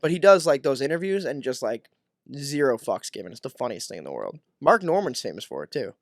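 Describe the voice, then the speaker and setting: A man with a Boston accent hosting a podcast, chatting casually, excitable and swearing freely. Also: mid-range voice, brisk, no echo, studio quality. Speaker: podcast host. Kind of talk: casual chat.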